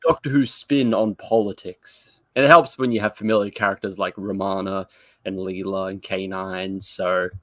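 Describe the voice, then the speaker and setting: A man co-hosting a podcast, talking casually in an animated, rambling, self-deprecating way. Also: sits low, normal speed, dry recording. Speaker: podcast co-host. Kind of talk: casual fan podcast chat.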